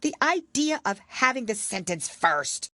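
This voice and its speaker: A woman's voice: on the high side, sing-song, unhurried, no room sound, clean studio sound, pitched above the performer's own voice, heavily acted. A woman voicing a young storybook girl, headstrong and emphatic in her way of talking. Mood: defiant, indignant. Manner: loud, emphatic, stubborn.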